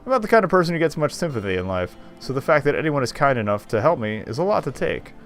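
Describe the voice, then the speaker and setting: A man's voice: fairly high pitch, very animated, fast talker, soft background music. A man reading game text aloud and adding wry, casual commentary as a streamer.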